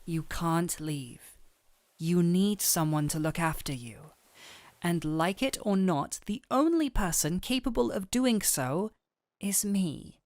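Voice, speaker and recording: A woman playing a gentle but firm, caring fantasy doctor in an intimate roleplay audio, speaking softly and earnestly to the listener. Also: on the low side, animated, dry recording. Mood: firm, protective. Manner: soft, soothing, unhurried, earnest.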